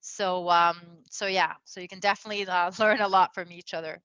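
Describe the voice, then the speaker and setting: Woman, raised in Venezuela, relationship coach, bright tone, rambling fillers, slightly muffled sound. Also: lowish voice, steady tone, a little fast, no echo. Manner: chatty, lots of fillers, laughs often. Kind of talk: casual advice talk.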